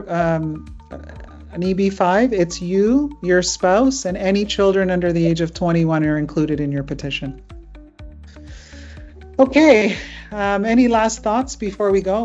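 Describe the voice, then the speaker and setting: Middle-aged woman host, low voice, chatty and informal, muffled video-call sound. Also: darkish tone. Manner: chatty, with frequent fillers and laughs. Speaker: immigration lawyer hosting a webinar. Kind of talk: casual webinar talk.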